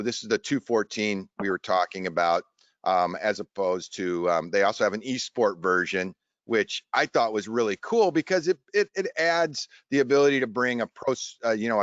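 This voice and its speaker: A man hosting a casual tech discussion in a halting, rambling way over an online video call. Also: low voice, fairly bright tone, no room sound. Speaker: host of a show tech webinar. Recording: dull, lo-fi online stream audio.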